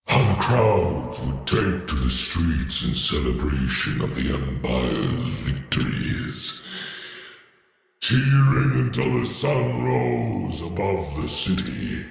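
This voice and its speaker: An actor playing a grandiose, scornful old deposed emperor in a video game, with a gravelly, booming voice and pompous, theatrical delivery. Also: male voice, a bit bright, full character voice. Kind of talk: video game villain acting. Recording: roomy long reverb over music.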